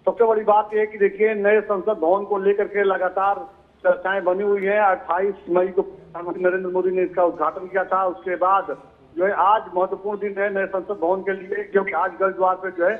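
Male field reporter, live news report, phone-like audio, slightly high voice, flat delivery, filler-heavy. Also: brisk. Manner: filler-heavy, repeats "jo hai" and "dekhiye". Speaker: bureau chief reporter. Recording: tinny phone-line audio.

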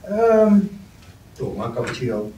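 Guy reading a sensible young banker, matter-of-fact but lively pitch, echoey room. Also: a little slow. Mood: reserved. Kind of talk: script table read. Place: meeting room. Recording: roomy, distant mic, faint noise.

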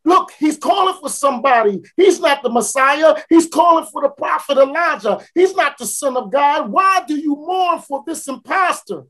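A woman performing a first-person monologue as a mocking, boastful street fruit seller, talking folksy and dramatic, with repeated builds and sudden shouts. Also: mid-range voice, unhurried, natural voice. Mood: scornful. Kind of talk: theatrical spoken-word monologue.

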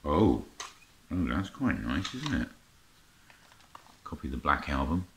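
Very deep-voiced middle-aged man chatting. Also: big pitch swings, unhurried, a bit bright. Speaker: vlogger showing a music haul. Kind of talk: casual chat to camera, unscripted. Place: indoor room lined with record shelves. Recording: roomy echo, faint noise, clicking handling sounds.

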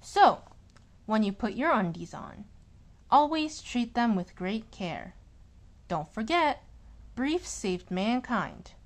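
Woman, higher-pitched voice, animated children's storybook reading, expressive, unhurried. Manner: expressive, deliberate storytelling with emphasised words. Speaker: children's book reader. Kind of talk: animated picture-book read-aloud.

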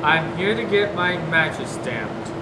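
Gullible male navy recruit, man acting, plain bewildered delivery, clear background noise in a lobby. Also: fairly high pitch, expressive, unhurried, reverberant. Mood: naive, subdued. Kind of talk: amateur training-film acting. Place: indoor lobby. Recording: noisy room camera audio.

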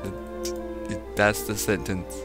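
Lowish-voiced man doing a mock dramatic reading. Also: little pitch movement, slow pace, music in the background. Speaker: co-host reading fan fiction aloud. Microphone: online voice call.

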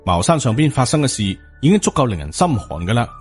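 A man's voice: medium pitch, sing-song, fast talker, a little mellow. Male audiobook narrator, Hong Kong Cantonese accent, scripted storytelling over background music. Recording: music under the voice.

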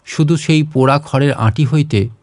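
A man's voice: a little high, moderate pace, a little mellow, dry recording. A man narrating a literary short story as a scripted audiobook reading.

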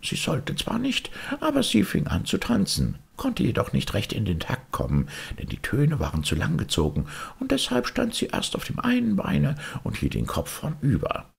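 A man's voice: medium pitch, huge pitch swings, moderate pace, vocal fry, hardly any pauses, breathy edge, voice pushed up, exaggerated voice. A man voicing a small, lonely, restless tin soldier from a storybook, in a plaintive, lamenting and theatrical way. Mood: wistful, chattering. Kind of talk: storybook character voice.

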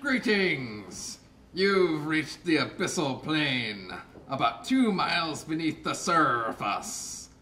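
Puppet barnacle, male actor: pompous, bright and forceful, recorded from a distance with faint noise. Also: a little high, very animated, takes it slowly, full character voice. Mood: grandiose. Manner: grandiose, theatrical delivery. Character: boastful barnacle on the deep seafloor. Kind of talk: live puppet show.

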